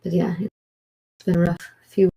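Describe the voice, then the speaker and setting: A woman's voice: fairly low pitch, little pitch movement, a little slow, gravelly, mellow, slightly rough. A woman co-hosting a casual livestream, chatting and reading viewer comments aloud. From Wisconsin. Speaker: co-host of a livestream.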